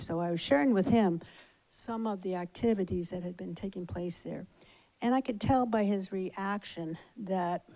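Middle-aged woman, audience comment, warm low-key tone, handheld mic, big hall. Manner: measured storytelling with fillers. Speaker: audience member. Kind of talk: public comment at a lecture.

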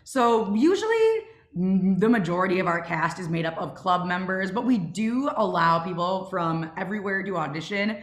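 Casual young female host, lower register, echoey room on a video call. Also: expressive, normal speed. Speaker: host of club Q&A, show creative team. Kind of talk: casual panel Q&A chat. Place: remote video call, roomy indoor space. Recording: roomy echo over video call.

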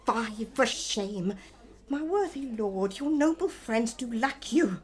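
A woman plays a commanding queen in a Shakespearean radio play. She speaks slowly in a fairly high voice. Her diction is formal and controlled. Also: animated, breathy edge, full character voice. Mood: scornful. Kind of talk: classical Shakespearean radio drama acting.

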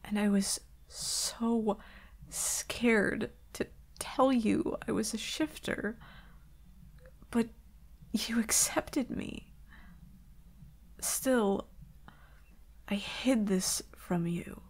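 A woman playing an anxious, vulnerable werewolf girlfriend in an intimate ASMR roleplay, soft and hesitant, stammering and often slipping into breathy whispers. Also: fairly high pitch, drawn-out words, long pauses, exaggerated voice. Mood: hurt, sorrowful.

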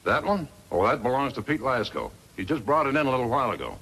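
An actor playing a plain-spoken, wary frontier blacksmith in a 1950s radio western, with a folksy manner. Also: male voice, mid-range voice, sing-song, fast talker, a bit bright, slightly rough, exaggerated voice. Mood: amazed, helpful. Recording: noisy vintage 1950s radio recording.

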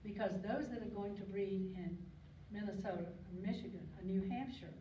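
An older woman gives a relaxed slide talk from a podium, chatty and wandering, filling gaps with ums and clearing her throat now and then. She is recorded by a camera far back in an echoey lecture hall. The sound is muffled, with steady background noise. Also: mid-range voice, normal speed. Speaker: guest speaker on local birds. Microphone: podium mic, recorded by a far camera.

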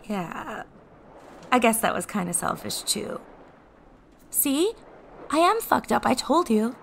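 Creaky-voiced, self-deprecating American teenage girl. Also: on the high side, sing-song, slow pace, slight background noise, full character voice. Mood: guilty, nervous. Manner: casual, hesitant, self-deprecating teen talk. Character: remorseful American teenage girl in a fantasy world. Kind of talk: naturalistic audio drama acting.

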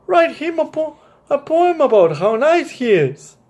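Casting: man reads as helpful storybook toy soldier, high and lively, encouraging, eager with ideas. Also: measured pace, full character voice. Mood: eager, instructive.

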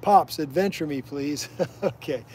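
A man's voice: fairly high pitch, unhurried, darkish tone, slight background noise. A middle-aged man from Iowa, the host's father, chatting on a fishing livestream by a canal, reading viewer comments and telling rambling history stories. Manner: folksy, rambling storyteller who drifts onto side tracks. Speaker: the host's father, guest storyteller. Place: outdoors beside a canal.

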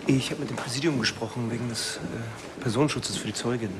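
A man's voice: medium pitch, fairly even intonation, fairly quick, over loud music, lightly acted. An actor playing a wry, somewhat vain male police detective in a TV crime drama. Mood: dry.

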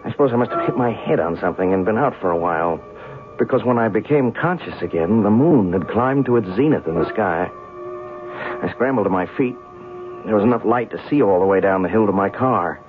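Male actor as skeptical American journalist, very low pitch, colloquial, wry, 1970s radio drama, tinny narrow sound. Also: expressive, brisk, music in the background, lightly acted. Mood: narrating, tense. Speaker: actor in a radio drama, also narrating as the character. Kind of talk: 1970s radio drama acting with first-person narration.

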